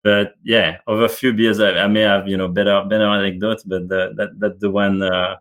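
A man's voice: on the low side, flat delivery, brisk, a bit bright. A man, speaking as a guest in a casual interview, hesitant and full of fillers and restarts.

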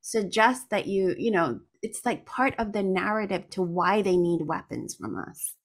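A woman hosting a podcast, chatting and interjecting with quick agreement. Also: mid-range voice, expressive. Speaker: podcast host. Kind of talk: conversational podcast talk.

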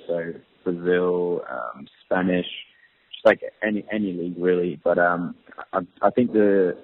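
A young man, a professional footballer, answering interview questions in a relaxed, hesitant way with many ums. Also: low-pitched, slightly rough. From Australia. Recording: tinny phone-line audio.

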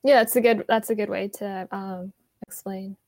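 A woman's voice: medium pitch, steady tone, moderate pace, darkish tone. A young woman in casual podcast chat, agreeable and asking questions.

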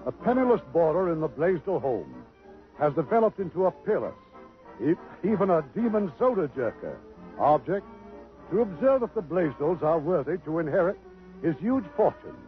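Old-time radio announcer, tinny. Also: male voice, a little high, big pitch swings, moderate pace, faint music behind. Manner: crisp and declamatory.

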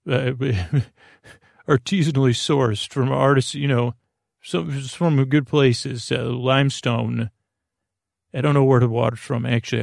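A male podcast host with a gravelly, creaky voice at a middling pitch. He rambles through a bedtime monologue, halting and wandering off on tangents. The sound is close and dry. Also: no room sound. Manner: meandering, halting, digressive.

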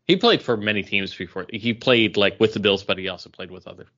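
A man co-hosting a podcast, chatting casually in an animated, rambling way. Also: fairly low pitch, fast talker. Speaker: podcast co-host. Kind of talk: casual pop culture and beer chat. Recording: dull, lo-fi podcast audio.

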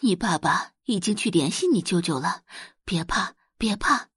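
A woman's voice: lowish voice, lively intonation, normal speed, a bit bright, breathy edge, no room sound, studio quality, full character voice. A woman voicing a protective, tender middle-aged mother with an emotional delivery in an audiobook drama. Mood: soothing. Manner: soothing, emotional.

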